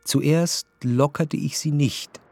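A man playing an introspective narrator recalling his past love in a literary radio drama, measured and reflective. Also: on the high side, fairly even intonation, creaky, a little mellow, faint music behind, full character voice.

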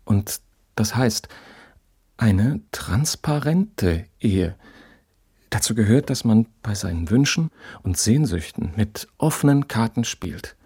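A man's voice: on the low side, expressive, takes it slowly, long pauses, mellow, husky, dry recording, clean studio sound, heavily acted. An actor in a German radio drama playing an obsessive, pedantic abandoned husband who insists on his ideas with great earnestness. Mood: solemn, dogmatic.

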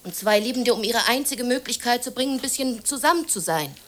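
A woman's voice: a little high, animated, brisk, a bit bright. An actress in a German radio drama playing a weary, scornful woman with a blunt, cutting way of talking. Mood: indignant. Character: weary, scornful woman rejecting her suitor.

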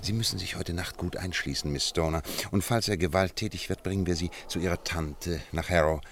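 A shrewd, commanding detective for a radio play. His voice is low, and he speaks briskly and incisively, with authority. Some noise sits behind the recording. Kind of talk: German radio play acting.

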